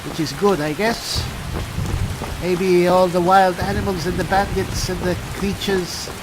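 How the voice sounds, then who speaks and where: A man doing in-character roleplay commentary on a game, musing haltingly as if talking to himself. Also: high voice, steady tone, background noise. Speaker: gameplay host, player in character. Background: rain and thunder from the game.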